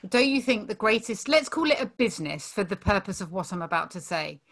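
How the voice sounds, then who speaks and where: A woman's voice: mid-range voice, animated, a little fast. A woman hosting a podcast interview, encouraging and affirming her guest, with a casual tone and the odd swear word. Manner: warm-hearted, encouraging, occasional swearing. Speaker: podcast host.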